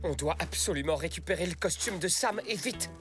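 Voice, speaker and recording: A woman voicing a quick-witted, exasperated fox who leads an animal gang in a French cartoon, scolding and urgent. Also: on the low side, over loud music, close to an everyday voice.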